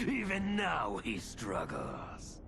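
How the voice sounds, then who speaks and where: A man's voice: medium pitch, huge pitch swings, drawn-out words, heavily acted. An actor playing a gloating, theatrical man in a video game, savouring his words over noisy ambience. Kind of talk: video game cutscene acting.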